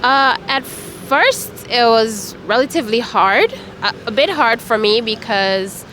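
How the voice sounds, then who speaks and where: A woman's voice: fairly high pitch, animated, measured pace, a bit bright. A young woman from Saint Vincent and the Grenadines, answering street interview questions in a friendly, hesitant way with many fillers. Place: city street outdoors. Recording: street noise. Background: traffic and street noise.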